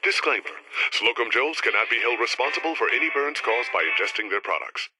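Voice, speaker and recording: An actor playing a theatrical, enthusiastic 1950s advertisement announcer in a salesmanlike style. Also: male voice, medium pitch, animated, brisk, natural voice. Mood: upbeat selling. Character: hammy retro 1950s male advertisement and movie-trailer announcer, booming enthusiasm. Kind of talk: mid-century radio advert announcing. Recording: music bed behind voice.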